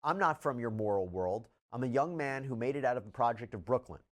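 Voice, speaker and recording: A man presenting news and reading out statements with measured, deliberate emphasis. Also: medium pitch, animated. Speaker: news commentator.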